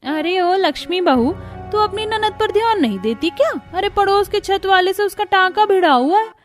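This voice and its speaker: A woman puts on a gossiping middle-aged cartoon neighbour. The pitch is pushed well up, with a drawling, taunting, folksy delivery. Soft music runs behind. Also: animated, moderate pace.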